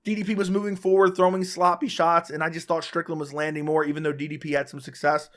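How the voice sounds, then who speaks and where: A man's voice: a little high, level tone, a bit bright. A man who is sick and losing his voice, hosting a casual, opinionated solo recap of a fight round by round. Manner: casual, opinionated, talks fast in bursts with restarts. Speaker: MMA commentator, channel host.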